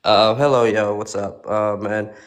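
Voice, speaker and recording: A man from Ethiopia, calling in to a talk room and speaking haltingly with many fillers. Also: on the low side, fairly even intonation. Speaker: caller. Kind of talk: casual chat.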